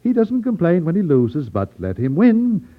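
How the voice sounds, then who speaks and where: Suave elderly racket boss, tinny recording. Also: male voice, sits high, huge pitch swings, normal speed, full character voice. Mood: brisk, businesslike. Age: older. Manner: over-polite, fussy, hesitant ums, condescending. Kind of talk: 1950s radio drama acting. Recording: tinny, narrow-band vintage radio recording.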